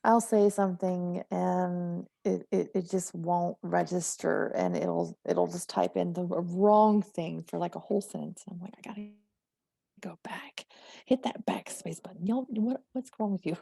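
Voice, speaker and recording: A woman's voice: fairly low pitch, a little slow, creaky, dark tone, husky, dry recording. A woman with a slight Southern accent, chatting casually as a guest about her writing, laughing often and acting out her own thoughts. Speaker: guest writer. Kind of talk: casual conversation.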